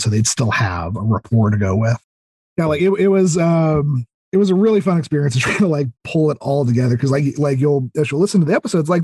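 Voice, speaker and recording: A man's voice: medium pitch, mellow, breathy edge, no echo. A man chatting casually as a podcast guest, rambling and repeating words as he thinks aloud. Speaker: podcast guest, audio drama writer. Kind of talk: casual chat.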